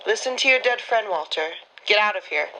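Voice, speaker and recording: A woman's voice: mid-range voice, expressive, a little fast, background noise, natural voice. A woman playing a menacing, taunting female wartime double-crosser in a radio drama. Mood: cold, menacing.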